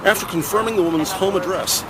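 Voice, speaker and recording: A man's voice: sits high, fast, over a noisy crowd, rough recording. A man narrating a scripted audio drama in a formal, descriptive reading style.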